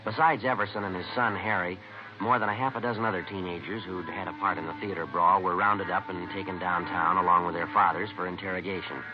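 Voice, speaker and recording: An actor playing a terse police detective, narrating and questioning in a deadpan 1950s radio drama style. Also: male voice, sits low, fast talker, music in the background, close to an everyday voice. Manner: deadpan, clipped. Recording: muffled vintage radio recording.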